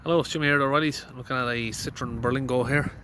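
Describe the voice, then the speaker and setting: A man's voice: mid-range voice, fairly even intonation, rapid-fire, music in the background. A middle-aged man, a mechanic with a congested voice from hay fever, thinking aloud inside a van. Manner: plain, thinking aloud with fillers. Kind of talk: casual diagnostic walkthrough.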